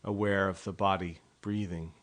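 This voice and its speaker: A man leading a guided body scan meditation, speaking in gentle, unhurried instructions with frequent pauses. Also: low voice, steady tone.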